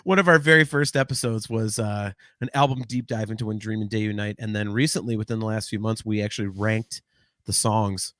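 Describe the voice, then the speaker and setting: A man's voice: lowish voice, steady tone, a little fast, fairly bright tone, no echo, clean studio sound. A man in his forties co-hosting a casual podcast chat, rambling and swearing with lots of false starts. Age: 40s. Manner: rambling, swearing, frequent restarts. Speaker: podcast co-host.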